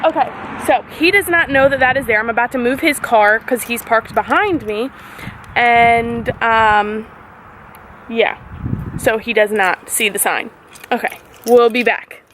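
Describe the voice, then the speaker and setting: A woman's voice: a little high, measured pace. A young woman vlogging in a casual, chatty, giggly way, filming on a handheld camera inside a car. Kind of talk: casual chat. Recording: loud road noise in a car. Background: car and traffic noise.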